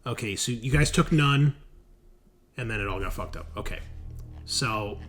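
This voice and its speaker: A man's voice: lowish voice, animated, normal speed, crowd noise. A man acting as game master, talking casually through rules and narrating scenes in an informal, teasing way. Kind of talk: running a tabletop roleplaying game, casual rules talk and narration.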